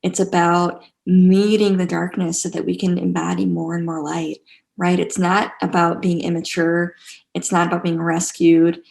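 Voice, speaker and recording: A woman teaching a spiritual class online in a casual, gentle and encouraging way, repeating phrases for emphasis, with a creaky voice. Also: on the low side, flat delivery, normal speed. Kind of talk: casual guided teaching to a live online group.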